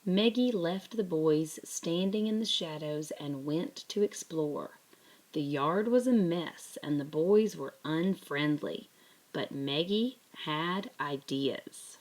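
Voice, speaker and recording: A woman narrating a children's picture book aloud in a deliberate, expressive way. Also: low voice, low-quality recording. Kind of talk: scripted children's story narration.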